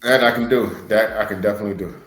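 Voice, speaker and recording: Man with a lowish voice, earnest motivational talk, echoey room, faint music. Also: steady tone, rapid-fire, fairly bright tone. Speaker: wrestler and podcast co-host. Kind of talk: casual podcast chat.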